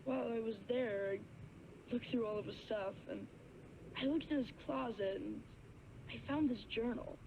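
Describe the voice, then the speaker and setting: A woman's voice: on the high side, normal speed, lightly acted. A woman voicing an earnest young radio call-in caller, telling a story hesitantly over the phone. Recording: tinny phone line with faint noise.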